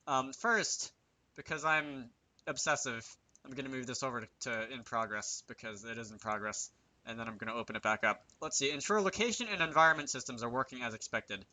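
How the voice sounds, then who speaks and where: A man's voice: mid-range voice, brisk, bright, forceful tone. A man giving casual, unscripted commentary over a screen recording, hesitant and thinking aloud as he works. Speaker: game developer hosting a tutorial series.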